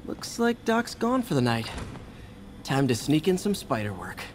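A man's voice: fairly high pitch, wildly swooping pitch, a little slow, a little hiss or hum. A man with an American accent playing a young, eager, nervy masked superhero in a video game, quippy and mock-dramatic. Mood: musing. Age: young adult. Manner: quippy, mock-dramatic, stammers when flustered.

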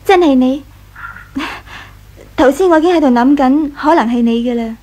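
A woman's voice: high-pitched, normal speed, warm tone, natural voice. A woman playing a gracious, composed young wife of a rich household in a Cantonese radio drama, with a Hong Kong accent. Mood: gentle, concerned.

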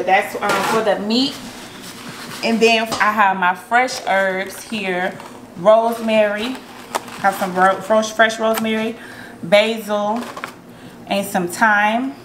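A woman's voice: medium pitch, slow, long pauses. A woman talks through a recipe in her home kitchen, speaking loosely and directly to her viewers. Her voice sits mid-range, with plenty of ums and easy asides. The camera mic near the counter picks up a faint hum from the room. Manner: chatty, relaxed, many ums, talks straight to viewers. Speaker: cooking vlogger. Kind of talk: casual cooking tutorial.

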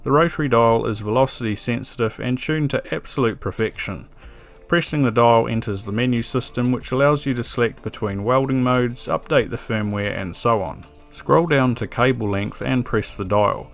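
A man giving a calm, methodical scripted voice-over for an electronics build tutorial, with a dry sense of humor. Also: medium pitch, normal speed, vocal fry. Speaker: electronics DIY video maker. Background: soft background music.

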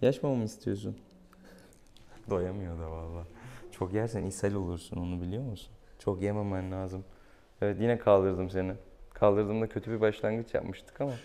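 Low, gravelly young man. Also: fairly even intonation, moderate pace, dark tone. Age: young adult. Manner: playful coaxing talk to a pet. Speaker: veterinarian. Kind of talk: casual chat while treating an animal. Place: small tiled veterinary exam room. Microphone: camera a few steps away. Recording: noisy room, camera mic at distance.

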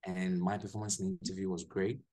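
A young man with a Ghanaian accent, a guest telling his story in a relaxed way on a video call from a small room, often restarting his sentences. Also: low-pitched, quick pace. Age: young adult. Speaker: guest, English teacher. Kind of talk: casual video-call interview.

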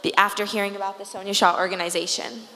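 A woman's voice: mid-range voice, fairly even intonation, quick pace, bright, forceful tone. A young woman co-hosting an event, presenting informally from a stage through a handheld microphone. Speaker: event co-host. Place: large auditorium hall on stage. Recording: echoey hall PA with background noise.

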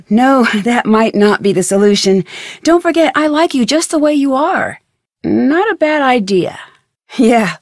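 A woman's voice: fairly high pitch, a little mellow, no echo, studio quality. A young woman voicing a friendly, curious, plucky amateur detective in a video game, with earnest, conversational delivery. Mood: affectionate, playful.

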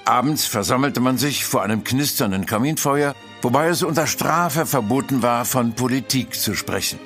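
A man's voice: medium pitch, moderate pace, fairly bright tone, faint music behind, natural voice. A man giving formal, scripted dramatic narration as the storyteller of a German audio drama.

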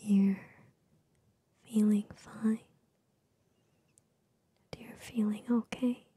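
A young woman giving soothing ASMR affirmations in a soft, breathy whisper, gentle and repetitive, very close to the microphone. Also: on the high side, no echo. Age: young adult. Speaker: ASMR host. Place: small bedroom with gaming setup, indoors. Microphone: large microphone very close to the mouth.

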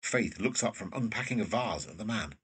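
A man with a husky voice reading scripted stage directions as narrator of a drama. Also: fairly low pitch, animated, a little fast, a bit bright, far from the mic.

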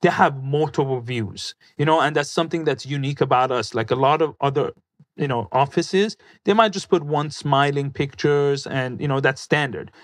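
A man's voice: medium pitch, moderate pace, dry recording, clean studio sound. A man, a dentist guest on a podcast, explaining his work in an enthusiastic, salesy manner in casual chat. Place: colorful studio set. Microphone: studio mic on boom arm, headphones.

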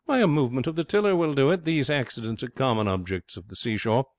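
A man's voice: mid-range voice, fast, dry recording, voice pushed up, close to an everyday voice. A man voicing a breezy, self-satisfied young schemer in a comic story, florid and cajoling with cheerful pomposity. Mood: breezy urging. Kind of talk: storybook character voice in comic fiction reading.

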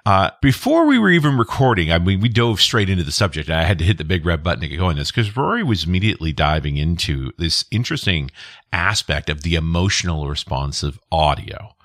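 Male podcast host, low register, animated inflection, casual interviewing. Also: a little fast, dry recording, clean studio sound.

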